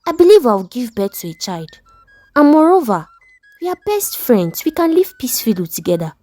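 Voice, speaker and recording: A coaxing, cheeky Nigerian woman acted out by a female storyteller soothes and wheedles as the best friend. Her pitch is lively, and faint music sits under the dry recording. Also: normal speed, no room sound. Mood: placating. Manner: coaxing, placating.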